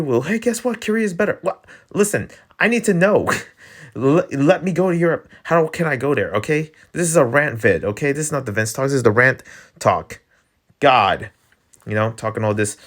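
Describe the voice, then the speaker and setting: An American man with an East Coast accent, talking in a loose, casual rant for his channel. He rambles off on tangents, trips over his words and restarts them, and laughs as he goes. His voice sits at a middling pitch. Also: fairly bright tone. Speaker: channel host.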